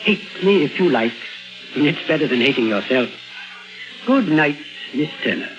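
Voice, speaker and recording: An actor playing a self-assured, probing psychiatrist, firm but caring, with theatrical, deliberate questioning in 1950s radio drama style. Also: male voice, on the high side, lively intonation, lightly acted. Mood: urgent, resolute. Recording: muffled vintage radio recording with music.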